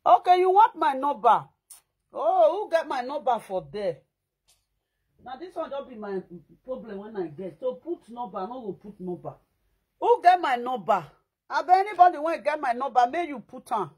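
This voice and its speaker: A woman in her thirties with a Nigerian Pidgin accent, chatting to her viewers indoors in an animated, emphatic way. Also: high voice, normal speed, breathy edge, low-quality recording. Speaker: vlogger. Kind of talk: casual chat to viewers. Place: indoors against a plain wall.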